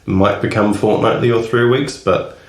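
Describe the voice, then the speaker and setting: A man co-hosting a podcast in casual chat, with a low voice, frank and laughing often, swearing freely. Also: fairly even intonation, normal speed, roomy sound. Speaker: podcast co-host.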